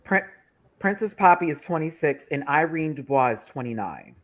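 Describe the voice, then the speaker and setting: A man in his thirties hosting a panel show, chatting in a campy way with quick asides about runway looks. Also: a little high, animated, fairly bright tone. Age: 30s. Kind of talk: casual panel chat reviewing runway looks.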